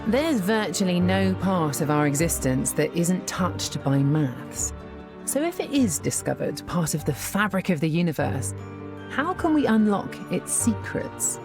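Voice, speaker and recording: Documentary presenter, woman, thirties, low gravelly voice, music under her. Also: sing-song. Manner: clear, measured, thoughtful delivery. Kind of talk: scripted documentary narration.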